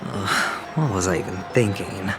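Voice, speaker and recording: An actor playing a suave, wry operative in a video game, courteous with a theatrical sarcastic edge. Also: male voice, low-pitched, a little slow. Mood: exasperated. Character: suave, wry male operative, outwardly polite. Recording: background music under the voice.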